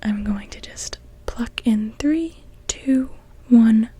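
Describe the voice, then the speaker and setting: A young woman whispering gently and without hurry in an ASMR witch roleplay, speaking directly to the listener. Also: fairly high pitch, slow pace, husky. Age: young adult. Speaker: ASMR performer.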